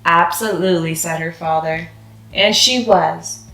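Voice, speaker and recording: A woman's voice: mid-range voice, animated, moderate pace, fairly bright tone. Echoey woman reading a children's storybook. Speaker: storybook reader, channel host. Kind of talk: children's read-aloud narration.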